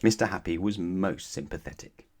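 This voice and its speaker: A man's voice: low voice, normal speed, a little mellow. A man narrating a children's bedtime story expressively, putting questions to his young listeners. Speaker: storybook reader.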